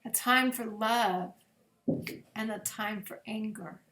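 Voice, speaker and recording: A woman pastor reading scripture aloud as a children's bedtime story, in measured, deliberate phrases with long pauses. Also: on the high side, a bit bright. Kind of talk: gentle read-aloud of scripture picture books. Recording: echoey room.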